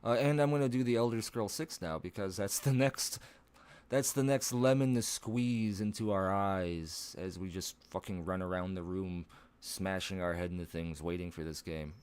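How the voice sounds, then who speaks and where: Rambling low-voiced man streaming from home. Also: normal speed, a little mellow, clear, resonant tone. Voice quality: low voice with odd high breaks. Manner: rambling, swearing, laughs at himself. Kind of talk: casual live-stream chat.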